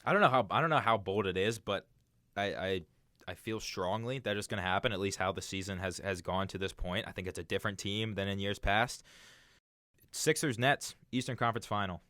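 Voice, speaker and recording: Young male sports co-host, lowish pitch, casual talk, dry recording. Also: fairly quick, bright, forceful tone, dry recording. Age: young adult.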